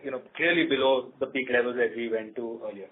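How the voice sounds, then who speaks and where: A man with an Indian accent answering analysts as a company executive, speaking off the cuff in a halting way and repeating words. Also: medium pitch, slight background noise. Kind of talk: unscripted earnings call answers. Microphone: telephone conference call. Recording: narrow, tinny phone conference line.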